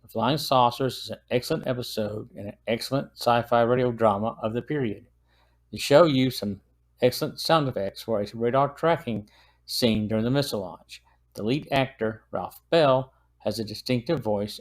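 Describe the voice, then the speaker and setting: A man hosting a podcast, reading a semi-scripted review in a plain, earnest, informative manner. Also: medium pitch. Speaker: podcast host.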